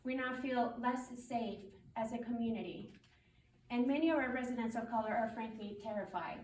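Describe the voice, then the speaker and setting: Higher-voiced woman reading a formal statement, roomy. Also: a little mellow. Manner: formal, firm, deliberate. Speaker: community spokesperson. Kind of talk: prepared public statement read aloud. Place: public meeting room. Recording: roomy meeting hall, distant mic, faint noise.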